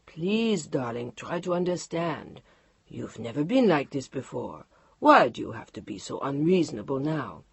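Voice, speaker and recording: A weary middle-aged businessman answers in a lowered, clipped, impatient voice, as an audiobook reader puts him on. The sound is husky and dry, close to the mic. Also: sing-song, moderate pace, dry recording, full character voice. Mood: exasperated. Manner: curt, sighing, impatient. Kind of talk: audiobook character voice.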